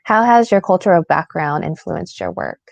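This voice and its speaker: A woman moderates a festival Q&A over a video call and asks her prepared questions in a friendly manner. Her voice sits very low and has a gravelly texture. The audio is dry and close. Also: animated, moderate pace, no echo. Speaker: interviewer.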